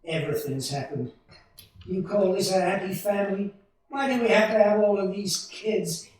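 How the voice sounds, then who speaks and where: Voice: medium pitch, moderate pace, a little mellow, close to an everyday voice. An actor playing a desperate, short-tempered family man in a 1940s-style radio play, recorded in a very echoey room. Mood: bitter, irritable. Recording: very echoey room, distant from microphone.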